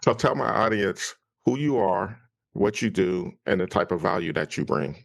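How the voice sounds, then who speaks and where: A man's voice: low-pitched, expressive, normal speed, vocal fry. A man hosting a podcast interview, upbeat and encouraging in casual conversation. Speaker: podcast host.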